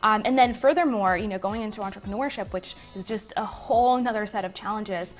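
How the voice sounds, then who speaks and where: A woman's voice: mid-range voice, fairly quick, soft background music. A woman in her twenties from Puerto Rico with a Puerto Rican accent, a native Spanish speaker, answering viewer questions to camera in a chatty way from her living room. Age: 20s. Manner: chatty, gestures a lot. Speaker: business vlogger. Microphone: webcam at desk.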